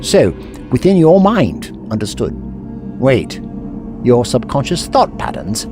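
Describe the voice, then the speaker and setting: Man playing an animated, wry eccentric Doctor. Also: medium pitch, slow pace, exaggerated voice. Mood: wry, probing. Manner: wry, theatrical, articulate. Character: eccentric, witty, brooding time-travelling alien Doctor. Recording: music behind.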